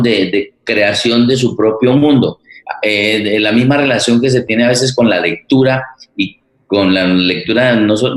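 A man speaking reflectively at a forum as a theatre moderator, halting and repeating words as he searches for phrases. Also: lowish voice. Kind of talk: reflective spoken forum talk. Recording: roomy, echoing sound.

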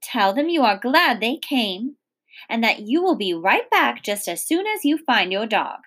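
A woman's voice: fairly high pitch, sing-song, a little slow, a bit bright, breathy edge, heavily acted. A woman voicing a firm, caring old woman in a children's storybook, giving commands and calling out.

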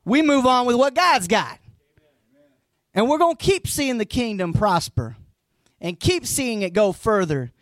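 Hoarse, high-voiced preaching man. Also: very animated, unhurried, no room sound, clean studio sound. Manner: preachy, rising to emphatic near-shouts; folksy asides. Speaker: pastor. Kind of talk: live sermon.

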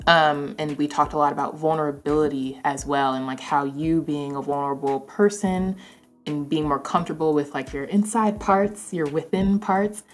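Casual low-pitched woman hosting. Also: fairly even intonation, soft background music. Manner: informal, halting, frequent "like" asides. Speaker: interview host.

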